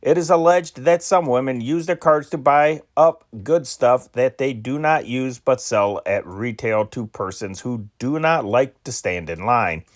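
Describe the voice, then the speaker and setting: A man's voice: mid-range voice, expressive. Man reading old news dispatches aloud. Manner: measured, self-correcting reading with slowed phrase endings. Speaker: amateur news reader.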